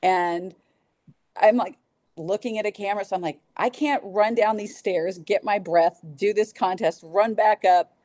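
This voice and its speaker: A woman's voice: mid-range voice, unhurried, darkish tone, no echo. A woman talking casually and enthusiastically as a podcast guest, a sports public address announcer. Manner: enthusiastic, informal.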